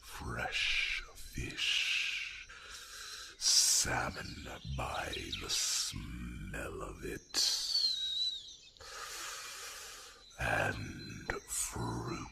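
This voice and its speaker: Ancient weary dragon, fantasy roleplay: rock-bottom pitch, heavy gravel, courtly and gentle, halting delivery. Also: male voice, steady tone, heavy background noise, exaggerated voice. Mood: weary, wondering. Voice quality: gravelly breathy growl. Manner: slow, halting, rumbling hums between phrases.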